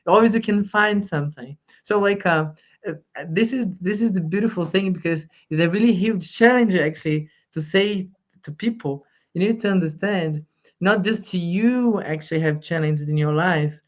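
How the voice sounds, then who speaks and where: A man from Brazil with a Brazilian Portuguese accent, speaking in a panel discussion with halting restarts. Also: sits high. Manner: halting, many restarts and fillers.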